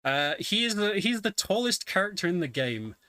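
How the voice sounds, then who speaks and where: Bright-voiced man commentating a game run. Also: high voice, sing-song, normal speed, clean tone, no room sound, studio quality. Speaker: speedrunner commentating his run. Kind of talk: casual live game commentary. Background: video game music.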